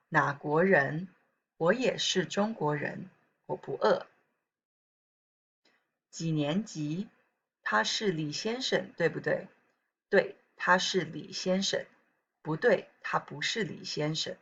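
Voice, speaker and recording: A woman Chinese teacher with a southern Taiwanese accent, reading practice sentences slowly with casual asides to her students. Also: lowish voice, creaky, a bit bright, slightly rough. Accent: southern Taiwanese Mandarin. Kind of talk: recorded pronunciation lesson. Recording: dull lo-fi recording.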